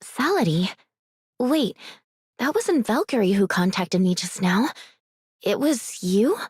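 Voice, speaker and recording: A woman's voice: mid-range voice, sing-song, slow, slightly rough, no echo, studio quality, exaggerated voice. A woman voicing a guarded, wearily blunt young woman in an anime game. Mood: wary.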